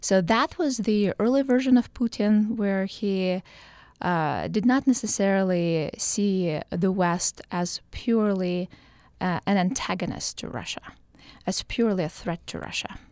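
A woman's voice: medium pitch, a little slow, a little mellow, no echo. A woman from Ukraine, a political science professor, answering radio interview questions in a thoughtful way with many fillers and restarts.

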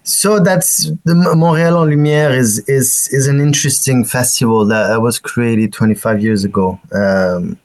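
A man in his forties with a French accent, a festival director talking as a radio guest, halting with many restarts and fillers. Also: mid-range voice, fairly even intonation, darkish tone. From France. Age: 40s.

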